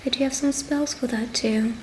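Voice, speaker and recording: A woman speaking directly to the listener as a tarot reader in a witch roleplay, pausing as she goes. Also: a little high, fairly even intonation, a little mellow. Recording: clear background ambient noise.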